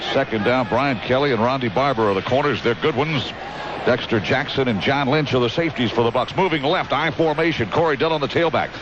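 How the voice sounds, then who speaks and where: A man's voice: medium pitch, brisk. American male football play-by-play caller, emphatic and clipped, dull broadcast sound with heavy noise behind. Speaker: radio play-by-play announcer. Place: outdoor stadium broadcast booth. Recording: dull radio broadcast, loud stadium noise. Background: loud stadium ambience.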